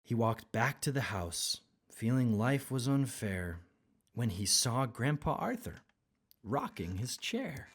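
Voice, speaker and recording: Very low, husky woman storyteller. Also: sing-song, slow pace. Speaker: storybook narrator. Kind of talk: expressive rhyming children's read-aloud.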